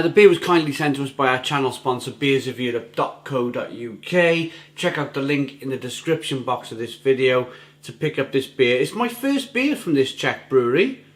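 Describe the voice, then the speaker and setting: A man hosting a beer review channel, talking casually to camera with a gruff, rough throat, enthusiastic and chatty, often repeating himself as he tastes. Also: medium pitch. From England.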